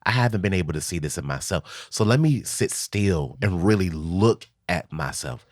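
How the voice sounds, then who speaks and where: A man with a deep, low voice hosting a relaxed interview. He talks casually and keeps encouraging his guest. The recording is dry and close. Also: normal speed, no echo. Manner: affirming, encouraging, frequent agreement. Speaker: show host.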